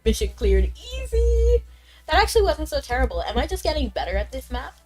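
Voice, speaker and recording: A young woman streaming a game and chatting casually with viewers, bubbly and chatty, a little congested from being sick. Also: sits high. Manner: bubbly, chatty, quick bursts of excitement. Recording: faint background game music.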